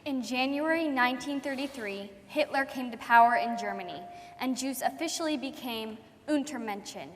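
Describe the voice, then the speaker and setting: A woman's voice: sits high, normal speed, hardly any pauses, a little hiss or hum, close to an everyday voice. A woman acting as a formal narrator in a solemn staged documentary reading. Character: formal female narrator announcing historic dates. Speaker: stage reader in a dramatic reading. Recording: echoey hall with long reverb.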